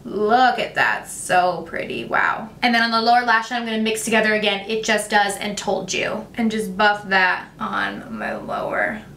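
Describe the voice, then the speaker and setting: A young woman, talking through a makeup tutorial in a chatty, gushing way, her voice a little raspy today. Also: mid-range voice, very animated, moderate pace, hardly any pauses, sharp tone, reverberant, recorded from a distance, a little hiss or hum. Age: young adult. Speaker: beauty vlogger. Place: bright home room with makeup shelves. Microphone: camera at close framing.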